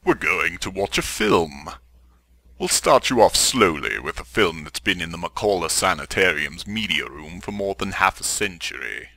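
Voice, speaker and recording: Man playing pompous, gravel-voiced mad scientist. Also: deep voice, big pitch swings, moderate pace, pushed and bright, dry recording, full character voice. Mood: courteous, sinister. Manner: pompous, formal, wordy. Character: sinister, genteel mad scientist doctor with pompous diction. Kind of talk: parody horror radio drama.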